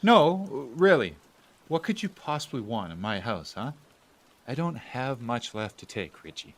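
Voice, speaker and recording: A man's voice: medium pitch, big pitch swings, measured pace. A man playing a bitter, overworked family man with a sardonic manner in an audio drama. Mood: angry, sarcastic. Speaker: actor. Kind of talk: audio drama acting, dialogue and narration to the audience.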